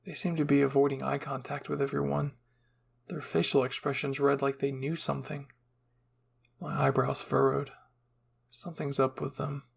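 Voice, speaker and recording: A man's voice: mid-range voice, vocal fry, husky. A man reading scripted first-person narration as a storyteller.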